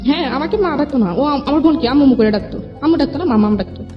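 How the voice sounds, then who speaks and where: Fast-talking young woman, higher-pitched. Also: expressive. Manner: rambling, repeats herself, quotes conversations. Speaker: guest telling own life story. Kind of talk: personal storytelling in an interview. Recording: dull lo-fi audio with music behind.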